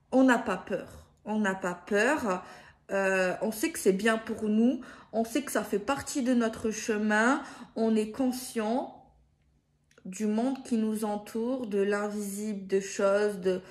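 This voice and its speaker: A woman's voice: mid-range voice, takes it slowly. A woman giving improvised spiritual guidance in a casual, hesitant way, often circling back and rephrasing herself. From France. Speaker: card reader giving guidance to viewers.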